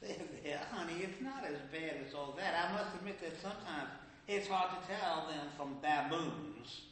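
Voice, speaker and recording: Prim old grandmother, high bright voice, scolding and genteel, echoey hall. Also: lively intonation, moderate pace. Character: fussy old Southern grandmother, proud and prim. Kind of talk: stage play reading. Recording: echoey hall with faint noise.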